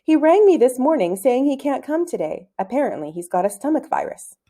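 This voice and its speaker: A woman's voice: sits high, sing-song, a little fast, dark tone, voice pushed up. A woman voicing a kindly male schoolteacher in a reassuring, easygoing manner.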